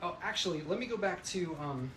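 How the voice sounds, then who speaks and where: A man's voice: high voice, animated, brisk, sharp tone. A man ranting unscripted on a livestream, brash, opinionated and emphatic, swearing freely as he talks to his viewers. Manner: emphatic, agitated delivery. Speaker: livestreamer giving political commentary. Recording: noisy livestream audio.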